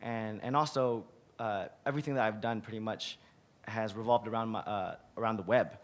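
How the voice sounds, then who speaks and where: A man in his thirties with a hoarse, raspy voice speaks on a conference panel, his tone relaxed and chatty. He restarts often and repeats words, speaking into a table mic in a big hall. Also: fairly low pitch, moderate pace. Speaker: museum curator, panelist. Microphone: table mic on a stand.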